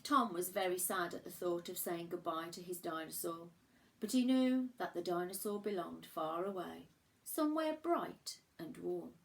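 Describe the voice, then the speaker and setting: Creaky-voiced woman reading a children's story. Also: fairly low pitch, rough recording. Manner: measured, dramatic emphasis and pauses for young listeners. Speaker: primary school teacher reading a picture book aloud. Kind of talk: expressive children's storybook narration.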